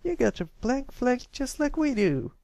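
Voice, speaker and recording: A man's voice: very high-pitched, big pitch swings, measured pace, gravelly, a little mellow, no room sound, voice pushed up, full character voice. A man voicing a friendly, eager young country girl pony with a folksy, plain-spoken manner, in a story reading. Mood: excited.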